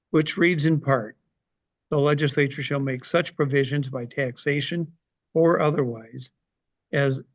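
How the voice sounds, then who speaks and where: A man's voice: mid-range voice, no room sound. Older man moderating, dull dry audio. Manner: reads questions aloud with frequent ums and uhs. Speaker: forum moderator. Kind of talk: reading prepared questions. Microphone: video call.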